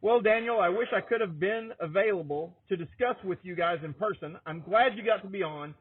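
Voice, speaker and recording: High, husky man on tinny phone-like audio. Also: a little fast. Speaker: podcast co-host. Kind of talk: pre-recorded question to guest.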